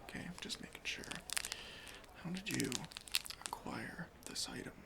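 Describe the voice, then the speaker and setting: Whispering airport security officer, played by a man. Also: close to an everyday voice. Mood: questioning. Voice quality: whispery, breathy. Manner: slow, deliberate interrogation with mock-stern politeness. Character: officious chief airport security officer, skeptical yet easily persuaded. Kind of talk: ASMR roleplay.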